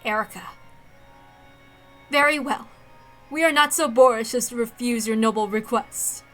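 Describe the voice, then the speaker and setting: A woman voicing a calm young man, a noble and tender duelist, solemn and gentle, in a visual novel reading. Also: a little high, normal speed, soft background music, natural voice.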